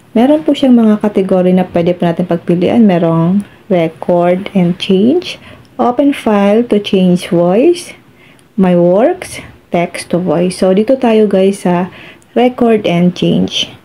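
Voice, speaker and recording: A woman's voice: fairly low pitch, takes it slowly, dark tone, poor audio. A Filipino woman hosting a step-by-step phone tutorial, addressing viewers politely as she gives instructions. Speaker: tutorial host.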